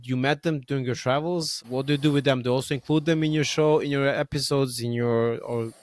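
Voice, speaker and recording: A man's voice: medium pitch, steady tone, no room sound. Chatty man who laughs a lot. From Serbia. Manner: laughs often, halting with restarts and fillers. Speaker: podcast host. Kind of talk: casual conversation.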